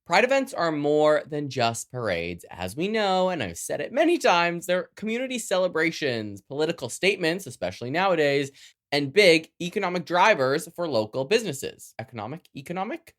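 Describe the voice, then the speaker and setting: Lively, sassy Canadian podcast host. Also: mid-range voice, moderate pace. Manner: sassy, playful. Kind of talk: scripted news round-up with chatty, campy asides.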